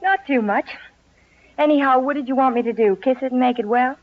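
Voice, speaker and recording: Actress as a dry, teasing wife, muffled radio. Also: female voice, high-pitched, fairly quick, lightly acted. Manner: deadpan sarcasm. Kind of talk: 1940s-1950s radio comedy acting. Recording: muffled old radio recording.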